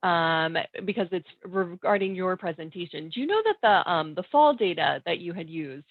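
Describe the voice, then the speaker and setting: A woman's voice: on the low side, expressive, normal speed, no echo. Woman moderator on a tinny line. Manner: polite, frequent um fillers. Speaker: webinar moderator. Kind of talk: moderating questions. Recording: dry, phone-like sound.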